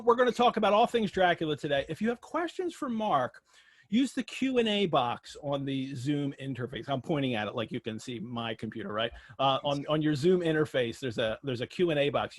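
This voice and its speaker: A man's voice: medium pitch, no room sound. An American man hosting a live online interview in an effusive, jokey, chatty way. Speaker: host of an online literary show. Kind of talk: live webinar interview and casual chat. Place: video call.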